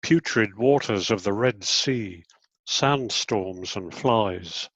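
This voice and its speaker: A middle-aged man reading poetry in a measured, deliberate way with clear pauses. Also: medium pitch, dry recording, highs cut off. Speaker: poet.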